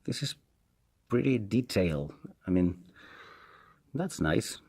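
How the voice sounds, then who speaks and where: A man with a Spanish accent and Spanish as his first language, giving a casual, unscripted product review in a halting, hesitant way and repeating himself. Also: lowish voice, lively intonation, darkish tone, breathy edge. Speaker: tech reviewer, video host.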